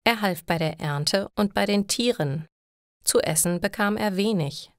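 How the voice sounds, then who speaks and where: A woman reading scripted stories for language learners in a clear, deliberate narrating style. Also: medium pitch, no room sound, clean studio sound.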